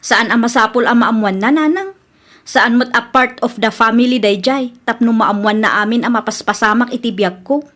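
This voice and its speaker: A woman's voice: a little high, normal speed, a bit bright, close to an everyday voice. A woman playing a fed-up, stubborn, outspoken young woman in a comedy radio drama. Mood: defiant.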